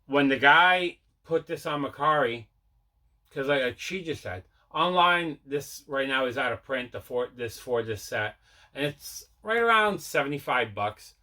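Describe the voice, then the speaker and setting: Bright, forceful-voiced man hosting a show. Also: medium pitch, animated, measured pace. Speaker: host of a collecting video show. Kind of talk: casual unboxing chat.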